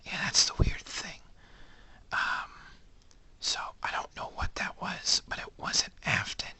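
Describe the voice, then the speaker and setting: A man voicing a secretive male animatronic bear who talks only in a breathy whisper. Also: takes it slowly, sharp tone. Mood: hushed.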